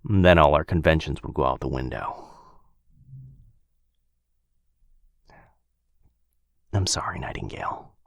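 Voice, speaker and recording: A man playing a gentle, protective vampire lover in an intimate boyfriend ASMR roleplay, tender and hushed, with a breathy, husky voice. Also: extremely low pitch, fairly quick, dry recording. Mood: worried, apologetic. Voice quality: breathy, husky, often whispering. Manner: tender, hesitant, reassuring, hushed. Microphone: close microphone.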